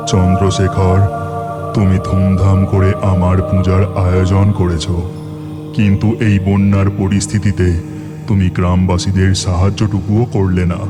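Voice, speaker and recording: A man's voice: low voice, monotone, measured pace, over loud music. A man voicing a stern, righteous god in a cartoon, with a solemn, admonishing, declamatory manner.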